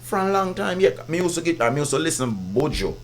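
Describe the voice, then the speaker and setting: A man with a Jamaican accent and a big, deep voice, talking as a guest in a casual interview, speaking in patois and often checking that he is understood. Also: big pitch swings, fast talker. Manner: patois-heavy, repeats "you understand". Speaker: guest artist.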